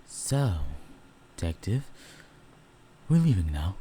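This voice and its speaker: A man acts out a male werewolf in an audio roleplay, casual and blunt. His voice is deep, husky and very mellow. He teases and competes, yet stays a loyal partner. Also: very animated, slow pace, exaggerated voice. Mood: brisk.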